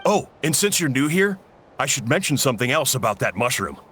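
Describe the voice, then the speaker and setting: An actor playing a genial village cook and uncle in a video game, explaining things a little theatrically. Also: male voice, medium pitch, sing-song, fairly quick, a bit bright, breathy edge. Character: genial adult male village cook, uncle, slightly dramatic. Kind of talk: English video game voice acting.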